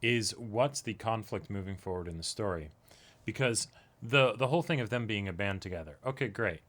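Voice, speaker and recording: A man's voice: fairly low pitch, a little fast, fairly bright tone. A man co-hosting a reaction show, chatting casually, often hedging and checking for agreement as he talks. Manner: conversational, hedging with "like" and "you know", seeking agreement with "right?". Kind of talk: casual discussion of an anime episode.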